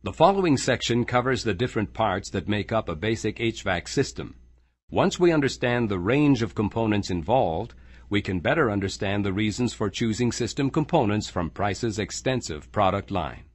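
A man with a fairly low voice reads scripted training narration in a measured, explanatory manner. The close studio mic leaves his voice dry, with no room sound. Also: expressive, no echo. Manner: measured, clear explanatory delivery.

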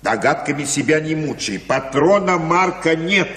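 An actor playing a jovial, boastful, hard-drinking sailor uncle in a theatrical verse radio play. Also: male voice, on the high side, lively intonation, moderate pace, a bit bright. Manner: blustering, hearty, theatrical. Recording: echoey hall with long reverb.

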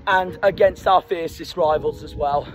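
A young man, a football fan vlogging after a match, hoarse from shouting and repeating himself in excitement, filmed at arm's length. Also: a little high, normal speed. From Plymouth, England. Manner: breathless and elated, repeating himself. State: nearly lost his voice. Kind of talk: excited post-match vlog. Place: outdoors at night, then indoors. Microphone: handheld camera at arm's length.

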